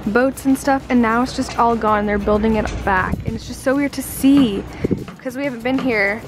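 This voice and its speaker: A woman's voice: fairly high pitch, normal speed, over music. A teenage girl chatting to a handheld camera in a casual, upbeat vlog. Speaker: vlogger. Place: car, outdoors and condo bedroom. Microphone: handheld camera at arm's length.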